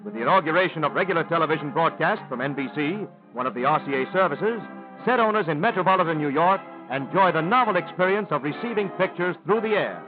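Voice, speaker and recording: An American man narrates in the formal announcer style of a 1930s newsreel. His voice sits fairly high and comes through a thin, tinny old film soundtrack. Music plays clearly behind his scripted, declarative delivery. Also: animated, fast talker.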